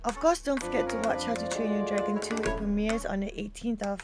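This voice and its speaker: A woman's voice: mid-range voice, fairly even intonation, a little fast, over music. A woman, an actress chatting bubbly in an interview. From Malaysia. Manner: bubbly, chatty, lots of fillers. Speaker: actress guest. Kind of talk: casual interview chat.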